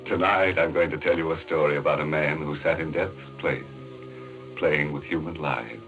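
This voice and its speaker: A man's voice: extremely low pitch, moderate pace. A man hosting and narrating a 1940s radio horror show in a sly, theatrical, ghoulishly playful way. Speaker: host and narrator of a mystery show. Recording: dull vintage radio recording with music. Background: music.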